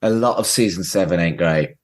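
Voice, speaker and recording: A man's voice: sits low, fairly quick. A man in his forties hosting a casual podcast, talking in an emphatic, sweary way. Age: 40s. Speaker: podcast host.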